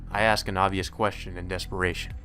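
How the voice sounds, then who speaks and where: A man's voice: low-pitched, flat delivery, fast talker, pushed and bright. A man doing a let's play, reading a visual novel's dialogue and narration aloud. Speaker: let's player. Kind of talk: reading game text aloud. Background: game music.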